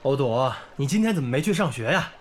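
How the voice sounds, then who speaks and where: Actress as patient teacher, lowish voice, very lively intonation, gentle, radio drama. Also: female voice, fast, a little hiss or hum. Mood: concerned. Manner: encouraging, patient. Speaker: schoolteacher. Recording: music clearly behind the voice.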